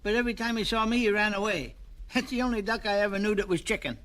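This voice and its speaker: A man voicing a silly, wisecracking, cowardly bald stooge in a slapstick cartoon, with a squeaky, tense voice and clownish delivery. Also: fast, a bit bright. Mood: goofy joking. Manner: goofy, clownish joking. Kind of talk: slapstick cartoon comedy acting.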